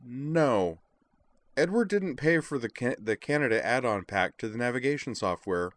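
A man's voice: mid-range voice, lively intonation, normal speed, no room sound, pitched above the performer's own voice, close to an everyday voice. A man voicing a sulky, whiny character in an audiobook who pouts and talks about himself by name. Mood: sulky, pouting. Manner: third-person self-reference, pouting.

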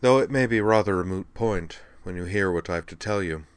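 Man playing an anxious, low-voiced Earthman lover. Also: fairly quick, clear, resonant tone, dry recording, natural voice. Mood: somber. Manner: hesitant, earnest, subdued. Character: anxious, self-doubting Earthman confessing to his lover.

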